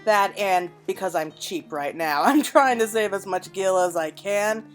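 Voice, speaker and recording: A woman's voice: mid-range voice, a little slow. A woman giving casual let's-play commentary, chatting and musing aloud to her viewers as the host. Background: game music.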